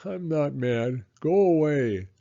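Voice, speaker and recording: A man's voice: on the high side, lively intonation, creaky, warm tone, muffled recording, pitched above the performer's own voice, exaggerated voice. A man voicing a hurt, glum young boy hero from a storybook in a plaintive way. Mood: sullen. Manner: plaintive, drawn-out.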